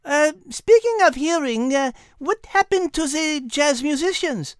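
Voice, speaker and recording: A woman's voice: sits high, animated, unhurried, no echo, studio quality. A woman voicing a dainty, clever female cat with a French accent, precise and polite, in a children's story. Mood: playful. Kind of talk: character acting for a children's story podcast.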